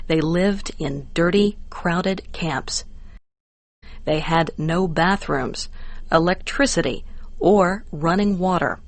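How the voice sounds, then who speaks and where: American woman, slightly low pitch, very slow scripted narration, careful enunciation, educational read-along. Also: no room sound.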